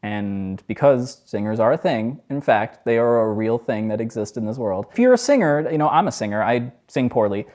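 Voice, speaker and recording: A man with a low voice giving a casual music theory lesson in a loose, joking, self-deprecating way. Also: lively intonation, normal speed, few pauses, a little mellow. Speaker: music teacher.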